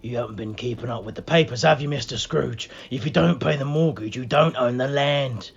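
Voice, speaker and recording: A man's voice: medium pitch, brisk, creaky, breathy edge, muffled recording. An actor playing a chirpy, cheekily blunt Victorian tradesman in a radio play. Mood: smug.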